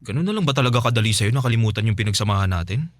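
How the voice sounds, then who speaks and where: A man's voice: lowish voice, expressive, rapid-fire. An actor playing a lovesick young man pleading emotionally with his ex in a Filipino radio drama. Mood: hurt, coaxing. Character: Terence, desperate, pleading, lovesick young ex-boyfriend.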